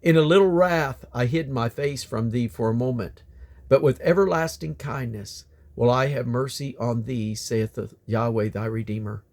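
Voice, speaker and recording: A man reading scripture aloud in a solemn style, with deliberate, declamatory phrasing that falls away at the ends of phrases. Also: mid-range voice, darkish tone. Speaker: Bible reader.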